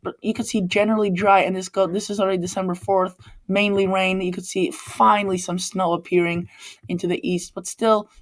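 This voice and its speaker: A man's voice: sits high, little pitch movement, brisk. A man with a Polish accent hosting a weather channel, talking loosely over forecast maps and often stopping to correct himself. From Poland. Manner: rambling, frequent restarts and self-corrections. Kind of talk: casual forecast over screen-shared maps. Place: Chicago.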